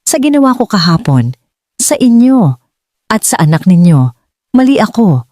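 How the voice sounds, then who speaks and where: Woman voicing a halting, remorseful billionaire man, mellow. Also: medium pitch, very animated, no room sound, clean studio sound, voice pushed up, lightly acted. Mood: hesitant, remorseful. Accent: Filipino. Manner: halting, faltering. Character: remorseful, hesitant male billionaire boss.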